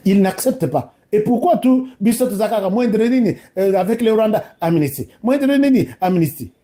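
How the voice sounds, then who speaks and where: A man's voice: high voice, expressive, moderate pace, mellow. A man from DR Congo with a Congolese accent, giving informal, emphatic political commentary that addresses listeners directly and switches between two languages.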